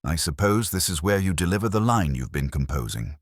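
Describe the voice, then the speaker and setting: Male narrator as cornered society gentleman, very low voice, proud, calculating, terse bitter bravado, mystery audiobook. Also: sing-song, brisk, dry recording, clean studio sound. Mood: sour, yielding.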